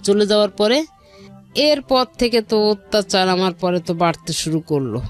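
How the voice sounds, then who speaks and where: A woman's voice: medium pitch, animated, moderate pace. A middle-aged woman with a Bangladeshi accent tells her personal story in an interview, earnest and repeating her phrases, often acting out quoted speech. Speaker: guest telling her life story. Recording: music under the voice. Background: background music.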